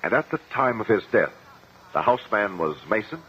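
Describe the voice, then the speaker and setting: Calm, probing radio-drama attorney. Formal, very varied pitch, muffled vintage sound. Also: male voice, normal speed, crowd noise. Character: calm, methodical male lawyer questioning witnesses in court. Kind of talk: 1950 radio courtroom drama acting. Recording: muffled, hissy old radio recording.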